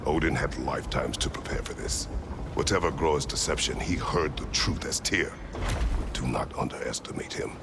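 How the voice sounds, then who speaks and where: A grim old war god, speaking in terse, grave words. The voice sits extremely deep and rough with gravel. It comes from game audio with a steady noise bed behind it. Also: male voice, moderate pace. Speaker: video game character.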